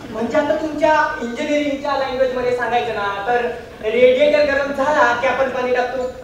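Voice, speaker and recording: A man's voice: extremely high pitch, fairly quick. A man playing a cheeky friend who teases and mocks in a comic stage play. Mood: mocking. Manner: teasing, mocking. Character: cheeky male friend teasing a bachelor. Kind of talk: theatrical stage comedy acting. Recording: echoey stage hall from far, faint noise.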